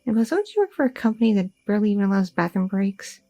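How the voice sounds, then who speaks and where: A woman's voice: mid-range voice, very animated, very fast, dark tone, faint music behind. A woman playing a chatty, curious young goth girlfriend in an intimate, casual ASMR audio roleplay. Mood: relaxed, chatty. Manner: casual, rambling, teasing, slangy.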